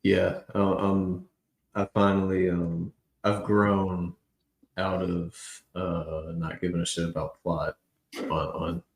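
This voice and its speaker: Male podcast guest, deep, slangy and halting; roomy echo on the recording. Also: little pitch movement. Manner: halting, colloquial. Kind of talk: casual chat, slangy. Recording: roomy echo, faint background noise.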